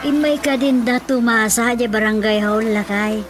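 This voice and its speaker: A woman's voice: a little high, normal speed, music in the background, natural voice. A woman acting a gossipy, complaining villager in an Ilocano radio drama comedy, tattling and wailing with an Ilocano accent. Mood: gossipy, urgent.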